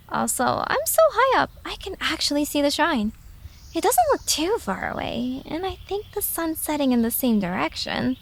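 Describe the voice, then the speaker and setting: High, lively young girl adventurer. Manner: expressive, youthful, talking into a recorder. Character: young fox-like girl adventurer, earnest, chatty, easily startled. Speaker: voice actor. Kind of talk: audio drama character acting.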